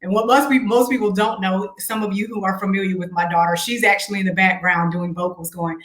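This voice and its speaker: A woman's voice: mid-range voice, steady tone, a little fast, a bit bright, echoey room, poor audio. A woman singer being interviewed, telling her story in an earnest, reflective way with faith-filled words. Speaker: singer and guest. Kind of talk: casual interview chat.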